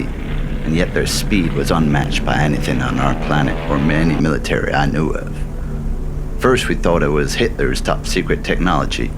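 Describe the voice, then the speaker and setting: A man playing a gruff, war-weary old soldier, telling his story in folksy, plain-spoken scripted narration. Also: a bass voice. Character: gruff, war-weary old male soldier recounting eerie wartime encounters. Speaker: voice actor. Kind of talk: scripted narration, film monologue voice reel.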